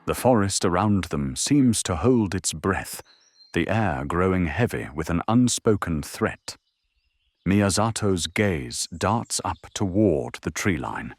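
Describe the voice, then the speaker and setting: A man's voice: low voice, husky, no echo. A man reading scripted, dramatic narration as the narrator of an audio drama. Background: music.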